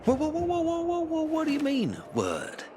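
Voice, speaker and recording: A man acting a wisecracking, fast-talking scoundrel of a prisoner in a video game cutscene, joking and wheedling like a born storyteller. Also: extremely high pitch, wildly swooping pitch, full character voice. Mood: placating, excited. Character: wisecracking male prisoner, fast-talking scoundrel who jokes through misery. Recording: music behind the voice.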